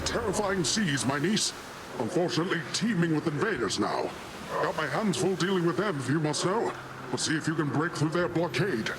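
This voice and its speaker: A man as a pompous, blustering sea god over loud noise. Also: fairly high pitch, natural voice. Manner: pompous, grandiose, theatrical. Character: boisterous, blustering middle-aged male sea god. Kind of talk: scripted video game character acting.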